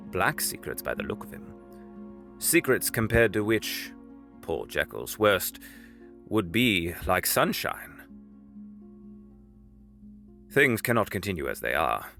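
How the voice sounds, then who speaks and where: Man playing grave gentleman lawyer, low voice, widely swinging intonation, troubled, loyal, formal old-fashioned audio drama speech, music underneath. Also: heavily acted.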